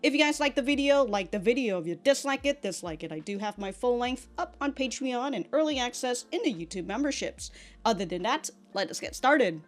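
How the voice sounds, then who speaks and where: A woman's voice: a little high, lively intonation, fairly quick. A woman hosting a reaction video, commenting casually in slangy, informal language with frequent interjections.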